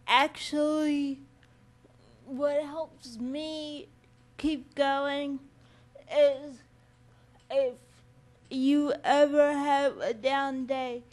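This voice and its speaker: High-voiced, earnest woman speaking slowly. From Maryland. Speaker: conference attendee. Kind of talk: self-introduction.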